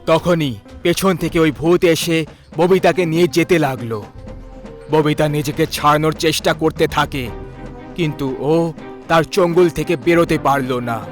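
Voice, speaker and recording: A male storyteller with a fairly high-pitched voice narrates a scripted horror tale. Music plays behind his voice. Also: fairly even intonation, measured pace.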